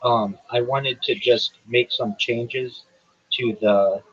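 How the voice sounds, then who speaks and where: Husky-voiced man hosting a livestream. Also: medium pitch, fairly even intonation, a bit bright, muffled recording. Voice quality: measured, formal delivery with some hesitation. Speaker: livestream host. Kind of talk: reading a prepared statement, then casual chat.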